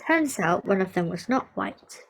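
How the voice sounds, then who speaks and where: Young woman from Hong Kong, music therapist, animated and quick to laugh in casual discussion. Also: medium pitch, vocal fry, slight background noise, poor audio. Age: young adult. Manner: animated, laughs often, acts out quoted remarks. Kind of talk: conversational discussion.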